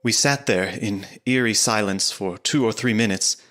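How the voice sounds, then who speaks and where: A man's voice: fairly low pitch, normal speed, a bit bright, close to an everyday voice. An actor playing an earnest, apprehensive young English clerk narrating his journal in a gothic audio drama.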